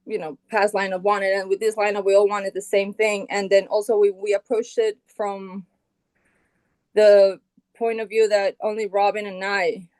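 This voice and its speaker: Middle-aged woman from Colombia, interview guest, casual and unhurried with lots of fillers, dry close sound. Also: mid-range voice, no echo, poor audio. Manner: relaxed, thinks aloud with many fillers and restarts. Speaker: guitarist and founding band member, guest.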